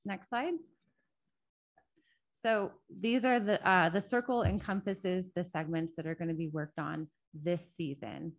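A woman project manager giving a project update at a city council meeting, soft-spoken and hesitant with frequent ums, speaking into a table microphone in a council chamber. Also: lowish voice, fairly even intonation, a little slow. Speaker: state transportation project manager. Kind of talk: public meeting presentation.

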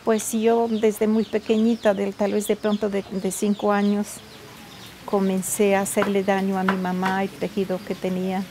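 A woman's voice: medium pitch, little pitch movement, moderate pace, background noise. An older woman from Colombia, a traditional weaver and midwife, telling her story plainly in a documentary interview.

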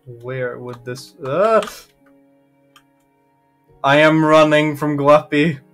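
A man's voice: a little high, drawn-out words, soft background music. A man from Norway chatting dryly with teammates while gaming live. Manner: dry, deadpan quips. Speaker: streamer. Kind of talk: casual gaming chat.

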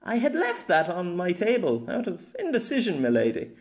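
A man voicing a smooth-talking, courteous knight with a formal manner in a fantasy story. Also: high voice, wildly swooping pitch, normal speed, voice pushed up. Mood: apologetic. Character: courteous, flowery young lady's knight, smooth-talking gentleman.

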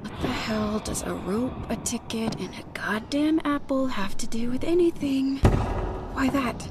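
A woman's voice: on the high side, unhurried, heavy background noise, lightly acted. An actress voicing a blunt, determined young woman investigating a haunted mansion in a video game, wry and muttering to herself. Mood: incredulous.

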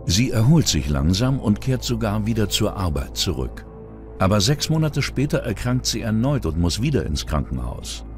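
Low-pitched, animated male documentary narrator. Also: moderate pace, soft background music. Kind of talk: scripted true-crime narration.

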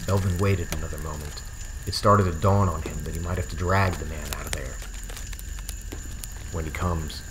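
A man reading a suspenseful novel scene aloud in a measured, dramatic storytelling manner. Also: extremely low pitch, fairly even intonation, noisy background. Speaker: audiobook narrator. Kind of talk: dramatic scripted novel narration. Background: music behind the voice.

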